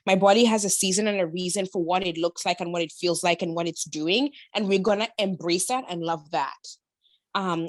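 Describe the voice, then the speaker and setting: A woman's voice: on the low side, no echo. Woman, emphatic and close-miked. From Kenya. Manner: emphatic, slangy, motivational. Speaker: podcast guest, body-confidence influencer. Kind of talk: casual, personal interview chat.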